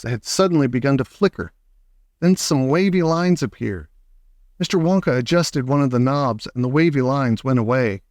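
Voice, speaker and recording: A man reading a children's storybook aloud as an expressive audiobook narrator. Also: mid-range voice, no room sound, studio quality.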